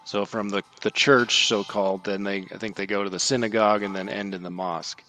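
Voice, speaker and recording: A man's voice: lowish voice, flat delivery, a little fast, sharp tone, faint music behind. A man speaking as a podcast guest, quoting and explaining religious matters in an earnest, disapproving manner.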